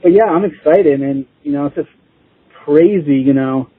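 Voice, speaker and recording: A man chatting casually as an interview guest, informal and rambling, with a husky voice. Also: on the high side, normal speed, dry recording. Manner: informal, rambling, chuckling easily. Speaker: interview guest, band musician. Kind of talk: casual chat. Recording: tinny phone-like line.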